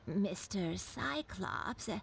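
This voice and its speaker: A gentle old granny shopkeeper in a video game. She talks slowly to children, with doting, animated rises and falls. Music is clearly heard behind her. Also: mid-range voice. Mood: kindly. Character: kindly old granny kite seller.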